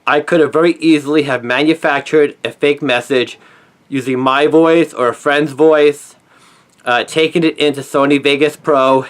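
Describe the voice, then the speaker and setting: A middle-aged man delivering a scripted opinion monologue in an earnest, emphatic manner with deliberate phrasing. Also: mid-range voice, a bit bright. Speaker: conspiracy commentator, former newspaper journalist.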